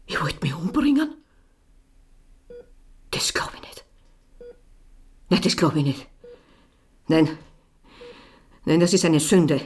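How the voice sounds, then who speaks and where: Slow, breathy, dazed wounded woman. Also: deep voice, very animated, a little mellow, heavily acted. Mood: disbelieving, anguished. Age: 50s. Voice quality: breathy, often whispering. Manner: halting, repetitive, dazed questioning. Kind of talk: radio drama acting.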